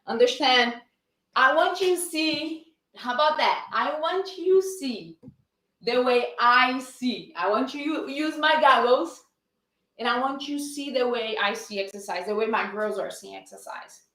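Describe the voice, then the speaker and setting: A woman's voice: high voice, expressive, measured pace, a bit bright, roomy sound. A woman with a Brazilian accent, a fitness coach teaching a live-streamed lesson, greeting viewers and answering her own questions with energy. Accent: Brazilian Portuguese.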